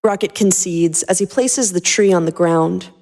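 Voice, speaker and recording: A woman hosting and narrating a fan fiction podcast with animated, scripted storytelling. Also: fairly low pitch, moderate pace.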